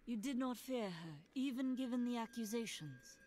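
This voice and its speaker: A woman's voice: a little high, expressive, normal speed, mellow, slight background noise, lightly acted. A woman voicing a cold, self-possessed heroine in a fantasy video game, speaking in a formal, reserved way. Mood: cool, questioning. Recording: prominent music behind.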